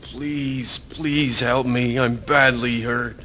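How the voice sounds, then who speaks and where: A man's voice: medium pitch, fairly even intonation, bright, forceful tone. A man acting a badly beaten, dying drinker who weakly pleads for help in a historical radio drama. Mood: weak pleading. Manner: slow, weak, pleading.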